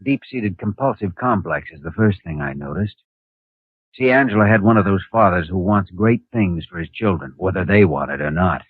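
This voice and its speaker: A deep-voiced young doctor. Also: male voice, expressive, moderate pace, slightly rough, no room sound. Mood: explaining. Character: calm young male doctor, earnest. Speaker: hospital doctor. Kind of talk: 1950s radio drama acting. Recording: tinny old 1950 radio broadcast.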